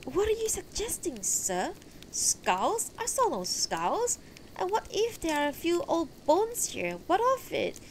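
A woman's voice: very high voice, wildly swooping pitch, slow, a little hiss or hum, pitched above the performer's own voice, exaggerated voice, rough recording. A woman voicing a proud, blustering old male knight in a fantasy story, formal and indignant. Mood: defensive, indignant.

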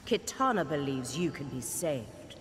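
A woman's voice: a bass voice, sing-song, unhurried, full character voice. An actress playing a loyal, merciless warrior woman in a video game. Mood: stern, righteous. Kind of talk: video game character acting. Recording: long hall reverb, music behind.

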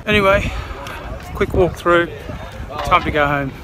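A man's voice: high voice, a little slow. A man chatting casually and informally to his viewers as a vlogger, with the odd mild swear. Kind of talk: casual vlog chat to viewers.

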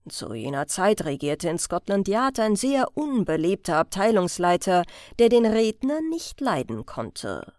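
A woman's voice: medium pitch, big pitch swings, measured pace, hardly any pauses, a little mellow, no room sound, studio quality. A woman reading a scripted story aloud as an audiobook narrator.

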